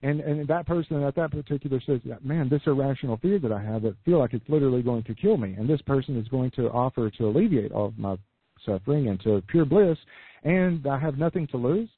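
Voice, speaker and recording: A man coaching entrepreneurs in a podcast interview, losing his voice, talking in an energetic sales pitch with many restarts. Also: fast, slightly rough, dry recording. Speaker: business coach guest. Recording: phone-like lo-fi dry audio.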